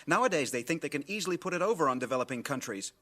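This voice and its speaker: Earnest crusading reporter, animated voice. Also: male voice, on the high side, very fast. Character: idealistic foreign reporter named Carlos, indignant, earnest. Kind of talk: 1980 radio drama acting.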